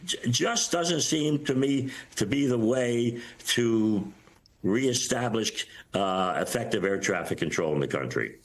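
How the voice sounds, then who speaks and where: An older man giving interview commentary as a former controller, plain-spoken and halting as he works through his points. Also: mid-range voice, lively intonation. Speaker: former air traffic controller, news guest.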